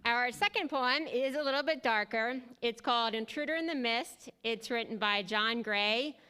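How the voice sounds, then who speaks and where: A woman's voice: on the high side, moderate pace, pushed and bright, smooth. A woman, a teacher, introducing readings at an event in a chatty, informal way.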